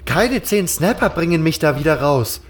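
A man's voice: fairly high pitch, animated, moderate pace, slight background noise, voice pushed up, natural voice. A man voicing a jittery, grumbling novice and reluctant sidekick in a fantasy audio drama, whiny and sarcastic. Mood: anxious, protesting.

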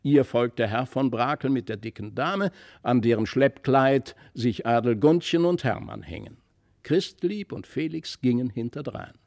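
A man narrating a literary fairy tale from a script, with expressive, deliberate storytelling. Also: mid-range voice. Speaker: audiobook narrator.

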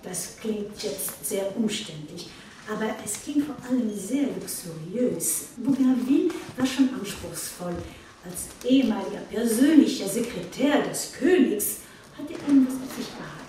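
A woman's voice: medium pitch, expressive, measured pace, warm tone, close to an everyday voice. A woman playing a chatty, proud museum guide giving an informal tour in a radio play. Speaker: exhibition guide. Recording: very echoey room, distant, faint noise.

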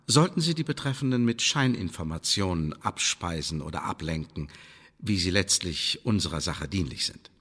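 An actor playing a shrewd Elizabethan spymaster in a radio play, instructing an agent in a formal, emphatic manner. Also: male voice, medium pitch, a bit bright. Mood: cautioning. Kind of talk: German radio play acting. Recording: long reverberant hall echo.

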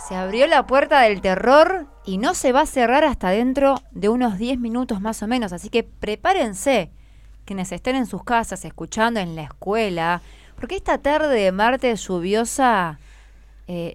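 A woman's voice: a little high, expressive, normal speed, no echo. A woman with a Buenos Aires accent hosting a school radio show, encouraging and full of questions as she talks. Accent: Argentine, Buenos Aires. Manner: encouraging, asks many questions. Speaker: radio host. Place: radio studio.

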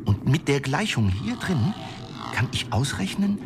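An actor playing a dithering wizard who explains things dryly and a little flustered in a German fantasy radio drama. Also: male voice, mid-range voice, big pitch swings, a little slow. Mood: matter-of-fact, resigned. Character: fussy, dithering wizard with a dry, bookish manner.

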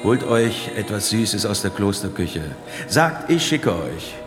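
Radio drama bishop: older, low-voiced, commanding and calculating, in a long echoing hall with music. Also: male voice, sing-song, takes it slowly. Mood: benevolent. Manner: stately, commanding. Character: grave, authoritative bishop, benevolent yet calculating. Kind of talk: German radio drama acting.

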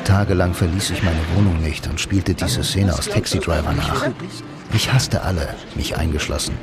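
Deep deadpan noir narrator. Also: male voice, normal speed. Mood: bitter, dry. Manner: dry, deadpan, laconic. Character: sardonic blind male bank copywriter, a lonely self-styled noir hero. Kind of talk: first-person radio play narration. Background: music.

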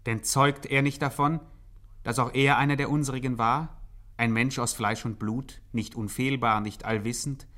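A man's voice: medium pitch, steady tone, normal speed, fairly bright tone, natural voice. A man playing a solemn, pompous commentator, declaiming with mock philosophy in an old German radio satire. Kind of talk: 1950s German radio play acting.

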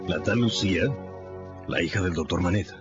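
A conspiratorial revolutionary tavern keeper played by a man in a radio play, terse and confidential in a very low voice. The audio is dull, with music underneath. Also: moderate pace, natural voice. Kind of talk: Spanish-language radio drama acting.

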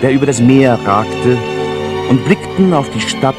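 A man's voice: mid-range voice, lively intonation, unhurried, loud music behind the voice. A man narrating a children's musical story expressively.

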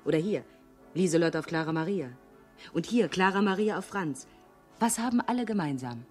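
A woman's voice: fairly low pitch, animated, normal speed, a little mellow, faint music behind, natural voice. An actress in a radio crime drama playing a cool, outspoken younger police detective, composed and pointed in how she speaks. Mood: pointed, explaining.

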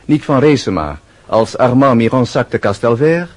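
A man's voice: mid-range voice, expressive, moderate pace. A man reading scripted announcements and cast credits as a radio drama announcer.

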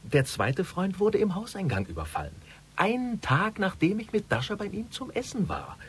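A man's voice: high voice, very animated, moderate pace, a bit bright, slight background noise. An actor playing an anxious, lovesick rich businessman in a radio crime drama, confiding earnestly and with agitation. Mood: worried, agitated. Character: anxious, lovesick wealthy businessman confiding in his half-sister.

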